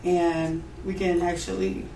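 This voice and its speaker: Young woman, casual and loose, lots of filler words, lower pitch, echoey room. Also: a little slow, creaky, far from the mic, a little hiss or hum. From United States. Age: young adult. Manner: relaxed, loose, many fillers and restarts. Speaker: interview guest, pro hurdler. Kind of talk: casual interview answers. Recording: roomy sound from off mic with faint ambience.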